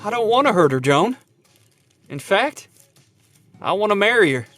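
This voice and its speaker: An earnest young suitor played by an actor in an audio drama. His voice sits high for a man and moves up and down freely, sheepish and devoted. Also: big pitch swings, moderate pace, fairly bright tone, no room sound, heavily acted.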